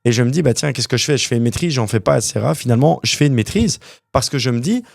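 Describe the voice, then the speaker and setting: A young man from Canada, a guest entrepreneur telling his story in a casual interview, animated and full of fillers. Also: mid-range voice, fast, vocal fry, dry recording, studio quality. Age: young adult.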